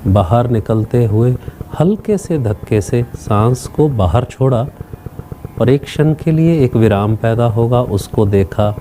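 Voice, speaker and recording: A man leading a guided meditation as a teacher, speaking calmly in short instructional phrases with long pauses. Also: medium pitch, slight background noise.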